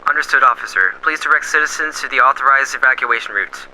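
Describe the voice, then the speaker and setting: A man playing a calm police dispatcher giving orders over the radio. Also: mid-range voice, quick pace, noisy background, close to an everyday voice. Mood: steady. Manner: crisp, procedural radio talk. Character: calm male police dispatcher, steady and procedural. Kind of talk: amateur radio drama acting.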